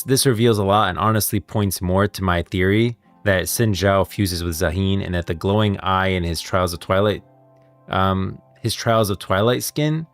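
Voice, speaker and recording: Low-voiced man rambling on a livestream. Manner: rambling, thinking aloud. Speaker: gaming lore streamer. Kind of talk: casual livestream commentary on game lore.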